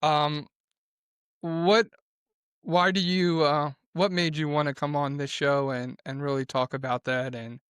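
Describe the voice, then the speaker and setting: Man, podcast co-host, hesitant, smooth clear voice, fairly high, dry sound. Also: flat delivery, a little slow, fairly bright tone, no echo. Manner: hesitant, many uhs. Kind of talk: podcast hosting.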